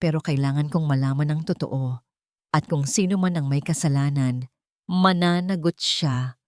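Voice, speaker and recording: A woman's voice: sits low, moderate pace, a little mellow, dry recording, close to an everyday voice. A woman storyteller plays a wealthy man, a grown son who is earnest and defiant. The lines are firm and insistent in a radio-drama manner, recorded dry and close. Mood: shaken, resolute. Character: earnest, frustrated rich adult son, defiant.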